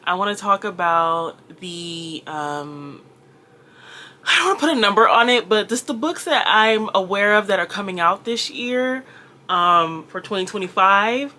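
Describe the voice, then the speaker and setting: A woman's voice: medium pitch, unhurried, pushed and bright. A Jamaican-born woman with a Valley girl accent, talking casually about books as a reviewer, rambling with lots of fillers and laughs. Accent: American Valley girl. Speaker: book reviewer. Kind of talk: casual chat.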